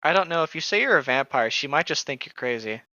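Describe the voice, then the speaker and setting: A co-host of a read-along who reads aloud and heckles casually, playfully indignant and quick to swear. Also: mid-range voice, animated, pushed and bright, no room sound, highs cut off. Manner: mock-indignant, playful, profane. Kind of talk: casual chat mixed with reading a novel aloud.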